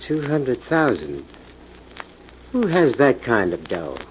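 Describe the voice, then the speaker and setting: An actor playing a hard-nosed, coolly menacing American gambling club boss in a 1940s radio drama, firm and skeptical, on an old recording. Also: male voice, medium pitch, sing-song, slow, dull audio, slight background noise. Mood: skeptical, probing. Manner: firm, skeptical, tough-talking.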